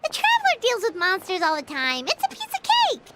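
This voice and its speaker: A squeaky fairy companion. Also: female voice, wildly swooping pitch, fairly quick, heavy background noise, exaggerated voice. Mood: curious chirpy. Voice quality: high, squeaky and bouncy. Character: small floating fairy companion, chirpy and curious. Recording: game audio with loud music underneath.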